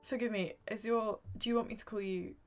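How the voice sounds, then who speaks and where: A soft-spoken woman whose voice is going hoarse, chatting apologetically with viewers as she draws on a livestream. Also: on the high side, fairly even intonation, a little fast, faint music behind. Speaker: art streamer. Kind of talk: casual livestream chat while drawing.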